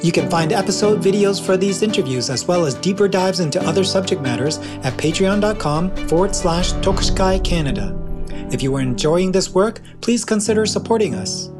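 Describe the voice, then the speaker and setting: A man's voice: sits high, animated, a little fast, over music. A man hosting a podcast, reading the intro and asking rambling questions with restarts. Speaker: podcast host.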